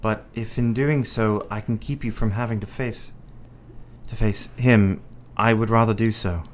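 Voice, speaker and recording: A man plays a devoted young Victorian gentleman in a radio drama. He has a fairly deep voice and speaks earnestly and with resolve, in measured, formal period language. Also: fairly even intonation, slight background noise, close to an everyday voice. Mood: tender, resolute. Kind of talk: radio play acting.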